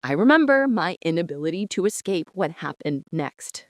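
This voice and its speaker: A woman playing a traumatized, meticulous archivist, narrating a psychological horror drama in a brooding, deliberate way. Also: lowish voice, very animated, no room sound, studio quality. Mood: haunted, resigned. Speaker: narrator and lead character of an audio drama.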